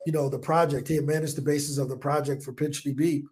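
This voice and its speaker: A man in his forties from the United States, a business owner telling stories on a podcast in an energetic, casual way, acting out his own past thoughts. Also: fairly high pitch, quick pace. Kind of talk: casual interview talk. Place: home in Colombia.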